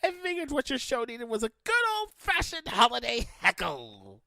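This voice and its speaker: A man's voice: very high-pitched, huge pitch swings, normal speed, creaky, bright, forceful tone, no echo, clean studio sound, full character voice. A man playing a gleefully mad, theatrical villain with a shrieking, cackling manner in an audio drama. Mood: gleeful mockery. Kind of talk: fan audio drama acting.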